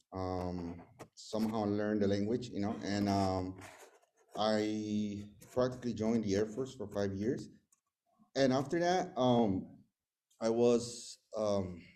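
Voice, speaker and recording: Nervous Salvadoran man, hesitant and mellow. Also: on the low side. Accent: Salvadoran Spanish. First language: Spanish. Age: 40s. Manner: hesitant, many fillers and restarts. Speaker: recovery meeting speaker. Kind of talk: personal recovery testimony.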